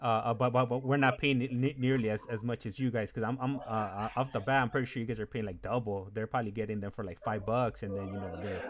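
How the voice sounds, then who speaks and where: A man's voice: lowish voice, steady tone, fast talker, few pauses. A man hosting a casual interview, stammering and repeating words as he works his way into each question. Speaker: host of a live call-in stream. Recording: very noisy audio with clicks.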